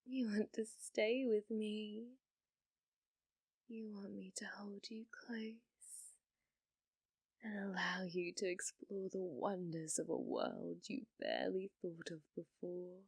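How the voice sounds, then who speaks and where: A woman's voice: medium pitch, vocal fry, a little mellow, breathy edge, no echo, full character voice. A woman playing a flirty, possessive yandere rental date in an ASMR roleplay, teasing and coy, coaxing the listener. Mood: intimate, seductive. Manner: teasing, coy, slow and deliberate, drops to whispers.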